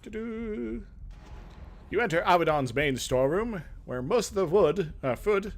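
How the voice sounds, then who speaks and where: A lively male gaming host with a slightly high voice and widely varying pitch. He reads story text aloud dramatically, then drops into casual, playful commentary. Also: moderate pace. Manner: playful, sing-song humming between readings. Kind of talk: dramatic reading of game text with casual asides.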